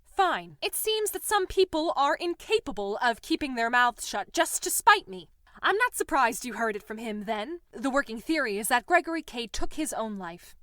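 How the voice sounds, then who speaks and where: A woman's voice: fairly high pitch, very animated, moderate pace, fairly bright tone, no room sound, studio quality. A woman playing a guarded, irritated character in an audio drama, with a clipped, defensive delivery.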